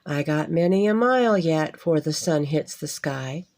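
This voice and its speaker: A woman's voice: deep voice, lively intonation, measured pace, darkish tone. A woman voicing a jolly little old man, a traveling wagon driver in a storybook, with folksy cowboy phrasing. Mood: brisk, cheerful.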